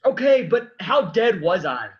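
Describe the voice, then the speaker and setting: A man acting a sarcastic, reluctant man just raised from the dead, deadpan, in a staged reading of a comic play. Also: high-pitched, unhurried, muffled recording. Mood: incredulous, confused.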